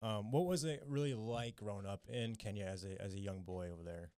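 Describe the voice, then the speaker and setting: A man hosting a podcast, chatting quickly and eagerly about detailing work with his co-host. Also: on the low side, a little mellow, clear, resonant tone, no echo, clean studio sound. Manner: fast, upbeat and chatty. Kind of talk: casual chat.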